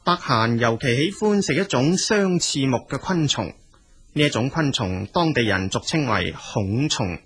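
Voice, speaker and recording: A man's voice: medium pitch, expressive, measured pace, fairly bright tone, husky. A man with a Cantonese accent narrating as a storyteller, in a colloquial spoken style.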